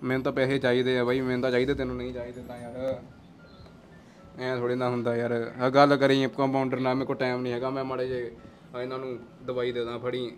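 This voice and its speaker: A man's voice: mid-range voice, completely flat delivery, fast talker, soft background music. A man, bantering casually on prank calls. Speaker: prank-call vlogger. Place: small indoor gaming room.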